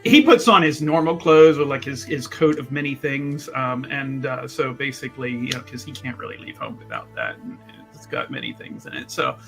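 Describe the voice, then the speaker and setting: A man's voice: mid-range voice, very animated, normal speed, vocal fry, few pauses, a bit bright, faint music behind. A man casually improvising his character's actions as a player in a tabletop game.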